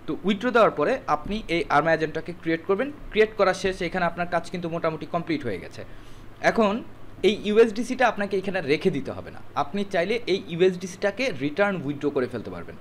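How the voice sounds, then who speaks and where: A man's voice: high voice, lively intonation, very fast, slight background noise. A man narrating a screen tutorial step by step, instructive and mixing in English words. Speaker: tutorial presenter.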